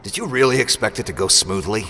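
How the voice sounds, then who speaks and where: A man's voice: lowish voice, quick pace, bright, forceful tone, in a large hall, soft background music. An actor playing a roguish, sarcastic assassin mercenary who teases his way through an audio drama. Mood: defensive. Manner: sarcastic, teasing. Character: sardonic, roguish male assassin mercenary, mock-wounded and teasing.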